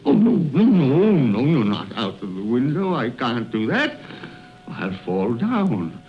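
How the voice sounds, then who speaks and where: A quavering old miser, swooping wildly. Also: male voice, medium pitch, huge pitch swings, heavily acted. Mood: terrified. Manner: quavering, halting, pleading. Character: frightened old miser, grumbling and pleading with ghosts. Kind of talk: 1930s radio drama acting. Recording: muffled 1930s radio recording.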